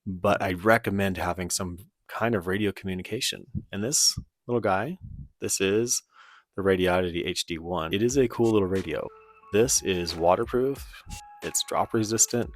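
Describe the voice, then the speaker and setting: A man's voice: deep voice, fairly bright tone. A man giving a casual, informal product review in a conversational way. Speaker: presenter of radio gear review.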